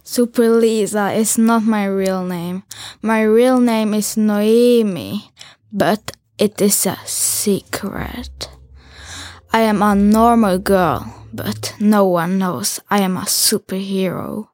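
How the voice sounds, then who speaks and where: A teenage girl, a Hungarian speaker, reading her own school text aloud slowly and carefully. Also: medium pitch, a little mellow, dry recording, studio quality.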